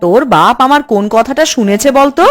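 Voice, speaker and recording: A fretful cartoon housewife acted by a woman with a fairly high voice. She scolds and coaxes expressively, with music in the background. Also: fast, close to an everyday voice. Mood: exasperated. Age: adult. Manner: exasperated, coaxing, expressive. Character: fretful, scolding housewife and doting mother of a boy. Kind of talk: cartoon voice acting.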